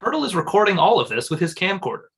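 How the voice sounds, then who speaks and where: A man's voice: sits high, very animated, quick pace, fairly bright tone, husky. A man reading screenplay stage directions as scripted narration at a comedy table read.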